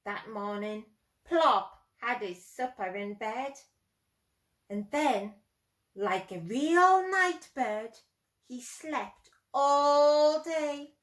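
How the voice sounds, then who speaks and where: A woman narrates a children's story expressively, speaking straight to her young audience. Her voice is high and very melodic, with a slow pace and a roomy echo. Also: expressive, a bit bright. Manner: addresses young listeners directly, demonstrative.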